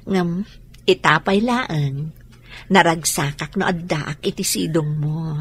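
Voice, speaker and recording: An actress playing a happy young woman planning her wedding, with a husky, sweet voice and an Ilocano accent. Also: low voice, takes it slowly, heavily acted. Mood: loving.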